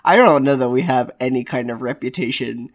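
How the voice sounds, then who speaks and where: A man's voice: mid-range voice, a little fast. Rambling, easygoing male podcast co-host. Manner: rambling, many restarts and filler words. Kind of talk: casual chat.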